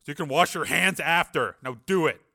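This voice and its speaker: A man's voice: a little high, fairly quick, vocal fry, pushed and bright, pitched above the performer's own voice. Man acting a barking, contemptuous army sergeant. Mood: gruff, commanding. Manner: barking, coarse, commanding. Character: gruff, contemptuous male army sergeant, bullying and crude.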